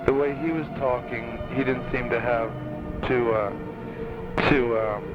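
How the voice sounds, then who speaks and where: A man's voice: mid-range voice, fairly even intonation, a little slow. A man chatting casually on the phone, hesitant and often groping for his words. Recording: dull lo-fi 1980s taped phone call. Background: loud music.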